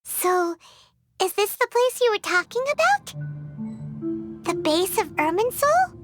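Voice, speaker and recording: A woman voicing a tiny, childlike, chirpy and excitable fairy companion in a video game. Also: a little slow, heavily acted. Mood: surprised, curious. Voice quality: squeaky, childlike. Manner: exclamatory, refers to self in third person. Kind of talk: video game story dialogue. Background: loud game music.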